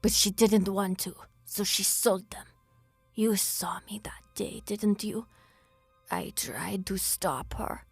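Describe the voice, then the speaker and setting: A woman voicing an eerie old woman who guards cursed portals, speaking in a slow, hushed, raspy whisper. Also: medium pitch, dry recording, soft background music, full character voice. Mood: ominous.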